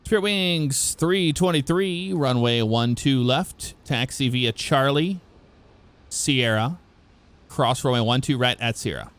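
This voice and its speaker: A man speaks as a ground controller in a flight simulation. He delivers standard air traffic phraseology in short, procedural chunks, with a medium-pitched voice. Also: slow, a bit bright.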